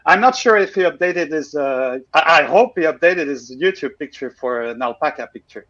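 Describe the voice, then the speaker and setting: A man's voice: a little high, lively intonation, moderate pace, fairly bright tone. A man from Switzerland presenting casually on a livestream, full of fillers and self-deprecating asides. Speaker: guest presenter. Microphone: video call. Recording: dull lo-fi call audio.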